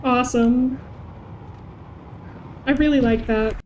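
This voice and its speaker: Slow-paced playful woman streamer. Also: fairly high pitch, fairly even intonation, a little mellow, rough recording. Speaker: game streamer. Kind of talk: casual stream chat. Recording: voice chat with background game noise.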